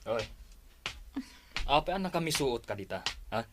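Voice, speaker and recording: A man with an Ilocano accent playing a gruff, entitled, bossy man of the house in a radio drama. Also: medium pitch, sing-song, normal speed, noisy background, lightly acted. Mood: dismissive.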